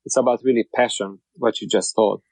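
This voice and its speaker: A man from Hungary speaking English with a Hungarian accent as a podcast guest, talking in broken, halting sentences with many repeated words and restarts. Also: lowish voice, fairly even intonation, darkish tone, no room sound. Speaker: guest, car wrap shop owner. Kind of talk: casual interview.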